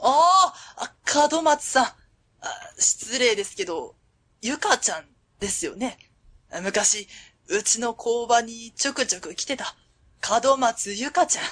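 Casting: actress for warm middle-aged female factory boss, higher-pitched, courteous and fond, radio drama. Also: expressive, unhurried. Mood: fond, delighted. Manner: polite, hesitant openers, fond chuckles. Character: friendly middle-aged woman company president, warm and modest. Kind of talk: Japanese web radio drama acting.

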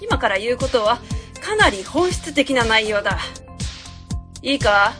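A woman's voice: on the high side, expressive, measured pace, fairly bright tone, soft background music. A woman playing a pompous, self-assured consultant who gives commanding advice in a web radio drama. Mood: pompous, instructing.

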